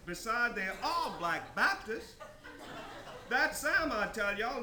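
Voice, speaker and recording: A man performs a blustering, indignant good old boy from a storybook tale. His voice rides high and forceful, swinging widely as he exclaims in folksy, rambling bursts. The room rings with echo. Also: heavily acted. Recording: roomy echo, some background noise.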